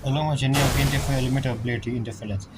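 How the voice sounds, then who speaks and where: A male gaming streamer with a medium-pitched voice gives casual commentary. He rambles and mutters reactions as he plays, with game music clearly audible behind him. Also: steady tone, rapid-fire, low-quality recording.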